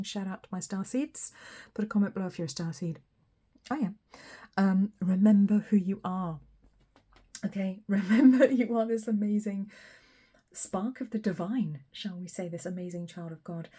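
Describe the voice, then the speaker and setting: A woman with a hoarse, croaky voice after a cold, giving a chatty, encouraging tarot reading straight to the viewer. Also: medium pitch, dark tone. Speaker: tarot reader. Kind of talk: casual pick-a-card tarot reading.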